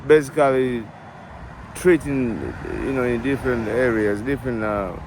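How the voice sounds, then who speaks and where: A middle-aged man with a Tanzanian accent, answering a street interview in a halting way with many restarts. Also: medium pitch, fairly even intonation. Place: city street outdoors. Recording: outdoor street noise.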